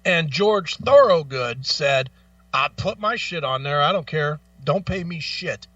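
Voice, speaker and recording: High, bright-voiced, blunt male radio co-host. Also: lively intonation, a little slow, dry recording, recorded from a distance, muffled recording. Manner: blunt, profane. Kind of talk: casual opinionated chat.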